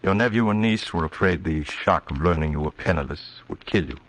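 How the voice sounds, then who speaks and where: An actor playing a grave, courtly small-town judge, formal and solicitous, in a 1940s radio drama on a noisy old recording. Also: male voice, sits low, a little fast, a bit bright. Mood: regretful, grave.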